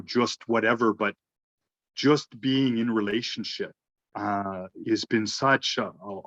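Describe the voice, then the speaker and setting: A man's voice: medium pitch, no room sound, muffled recording. A man in a reflective group conversation, talking earnestly and often restarting phrases as he searches for words.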